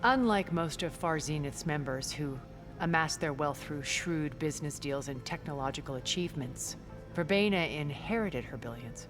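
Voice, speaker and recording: Low-voiced, elegant immortal aristocrat woman. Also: lively intonation, music in the background. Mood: cool disdain. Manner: measured, elegant, theatrical phrasing. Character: refined, wealthy immortal woman, eloquent and quietly scornful. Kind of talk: video game character dialogue.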